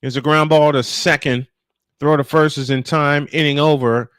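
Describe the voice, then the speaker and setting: Man for live baseball call with loose banter; voice a touch high, very dry acoustic. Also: fairly even intonation, normal speed, fairly bright tone, clean tone, no echo. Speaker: play-by-play sportscaster.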